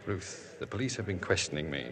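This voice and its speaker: A low-voiced, torn young priest. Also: male voice, a little fast. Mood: urgent. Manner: gentle, restrained, steady. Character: earnest young male priest, torn but firm. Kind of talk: 1950s radio drama acting. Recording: old broadcast with crowd behind.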